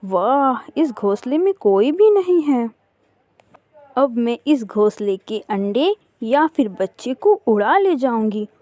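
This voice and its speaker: A woman's voice: fairly high pitch, very animated, slow, mellow, no room sound. A woman voicing a big female bird of prey in a cartoon story, bossy and scolding yet motherly. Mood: greedy, pleased.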